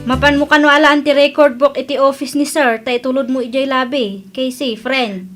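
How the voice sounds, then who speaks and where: Actress as chatty young filipino work friend, high voice, nosy but concerned, radio comedy drama. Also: female voice, fairly even intonation, normal speed, fairly bright tone, faint music behind. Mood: curious. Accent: Philippine.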